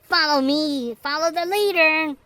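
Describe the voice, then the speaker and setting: Man putting on an unhinged, high-pitched playful girl. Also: measured pace, sharp tone, dry recording, heavily acted. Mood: playful menace. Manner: sing-song, teasing, giggly. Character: playful, unhinged girl fighter who toys with enemies. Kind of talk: fan fiction audiobook character voice.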